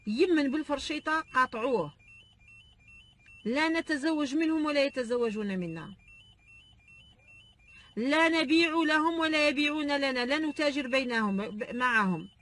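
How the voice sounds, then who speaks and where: Fast, high, emphatic Algerian woman. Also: fairly bright tone, slight background noise. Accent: Algerian Arabic. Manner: emphatic, hammering repetition. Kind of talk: impassioned address to the public.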